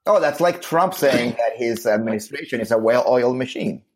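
A man's voice: mid-range voice, animated, moderate pace, husky. A man from Colombia co-hosting a podcast, chatting casually in a jokey, emphatic way. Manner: jokey, emphatic, trails off mid-sentence. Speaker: podcast co-host.

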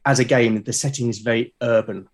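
A British man talking casually as an interview guest, explaining things haltingly and often repeating his words. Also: medium pitch, steady tone. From Britain. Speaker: podcast guest, game master and podcast creator. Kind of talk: casual conversational interview talk.

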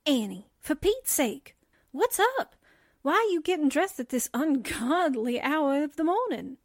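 A woman's voice: high voice, big pitch swings, unhurried, dry recording, clean studio sound, heavily acted. A woman acting a timid, jittery assistant who stammers with fright in a 1940s-style radio drama. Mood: puzzled, complaining.

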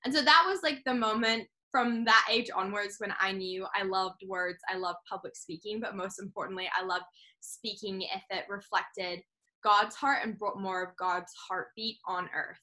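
A woman's voice: mid-range voice, fairly even intonation, moderate pace, pushed and bright, low-quality recording. A young woman born in England and raised in New Zealand, a podcast guest chatting eagerly, laughing often and saying "like" a lot. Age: young adult. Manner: enthusiastic, laughs often, fillers like "like". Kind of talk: casual conversational interview.

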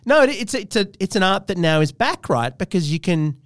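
A man's voice: high-pitched, very animated, fairly quick, no room sound, studio quality. A man hosting a podcast in a casual, joking, conversational way. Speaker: podcast host. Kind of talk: casual chat.

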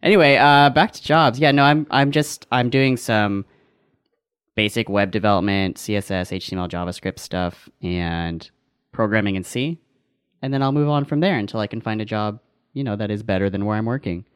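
A man co-hosting a podcast, chatting casually and telling absurd jokes in a deadpan manner. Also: fairly low pitch, moderate pace, clean tone, no echo, clean studio sound. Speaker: podcast co-host. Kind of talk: casual chat.

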